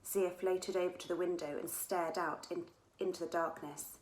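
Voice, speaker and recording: A woman reading a children's story aloud as its author, in an expressive storytelling manner. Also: fairly low pitch, moderate pace. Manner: expressive, with dramatic character dialogue. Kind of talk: scripted children's story narration. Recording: echoey room sound.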